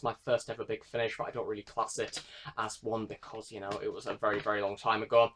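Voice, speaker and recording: A young adult British man with a mid-pitched voice hosts an informal showcase video. He moves through items in a sing-song listing delivery, starting each one higher and letting the ends of phrases drop away. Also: a bit bright. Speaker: collector and reviewer presenting the vlog. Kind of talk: informal collection showcase to viewers.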